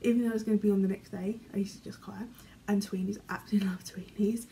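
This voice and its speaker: A young British woman vlogging from her bedroom, reading questions aloud and answering in a chatty, rambling way, often trailing off quietly. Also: mid-range voice, steady tone, racing, slight background noise, poor audio. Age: young adult. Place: small bedroom, indoors. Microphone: camera in front, medium framing.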